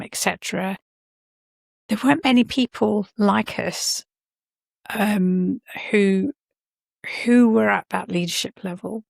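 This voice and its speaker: A middle-aged woman talks in an earnest, reflective way, often pausing to restart and correct herself mid-sentence. Her voice carries a gravelly edge of fry. The recording is dry and close, with little room sound. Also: medium pitch, no echo. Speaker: podcast guest, science and healthcare leader. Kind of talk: reflective interview conversation.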